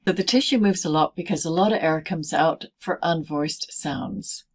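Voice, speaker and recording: A woman presenting a scripted pronunciation lesson as a teacher, speaking in deliberate, clearly segmented phrases. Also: low voice. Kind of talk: scripted instructional narration in easy English.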